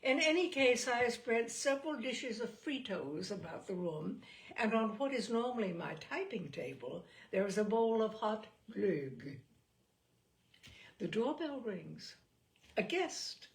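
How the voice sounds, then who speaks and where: A woman hosting a reading series, speaking in an expressive, deliberate, theatrical storytelling style. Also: fairly high pitch. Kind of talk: expressive literary reading with chatty introduction.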